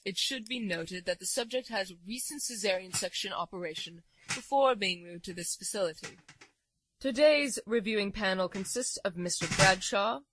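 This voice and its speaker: A woman playing a composed, clinical nurse giving measured, formal reports in a horror audio drama. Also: mid-range voice, noisy background, natural voice.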